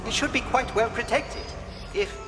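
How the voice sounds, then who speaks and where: An actor playing a fussy, anxious, polite protocol robot in a radio drama, with a prissy, well-mannered delivery. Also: male voice, extremely high pitch, moderate pace. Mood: fretful. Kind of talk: space opera radio drama acting. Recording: cavernous reverb, loud music behind.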